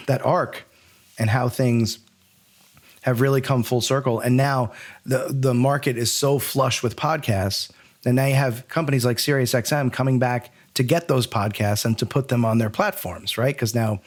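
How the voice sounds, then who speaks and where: A man's voice: medium pitch, fairly even intonation, normal speed. A man hosting a podcast in a conversational interview style, reflective and rambling as he thinks aloud. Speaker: podcast co-host.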